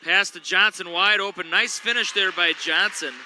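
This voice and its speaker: A man's voice: high-pitched, fairly even intonation, normal speed, pushed and bright, some chatter behind, a little hiss or hum. A man doing chatty, joking play-by-play of a local school basketball game from the gym. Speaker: play-by-play announcer. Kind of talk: local sports commentary. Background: gym ambience, bouncing basketball.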